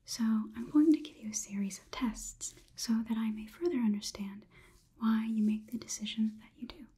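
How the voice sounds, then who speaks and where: A young woman whispering softly and deliberately in an ASMR roleplay, playing a curious, logical robot overlord who questions the listener clinically. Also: fairly high pitch. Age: young adult. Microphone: close ASMR microphone.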